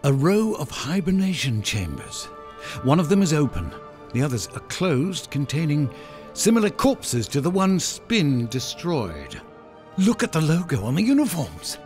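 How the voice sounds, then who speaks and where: A man's voice: fairly high pitch, huge pitch swings, a little slow, darkish tone, faint music behind. An actor playing a scholarly, fussy older professor who dictates his marvelling observations in a sci-fi audio drama. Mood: awed, observing. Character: scholarly older male professor, fascinated, fussy space explorer.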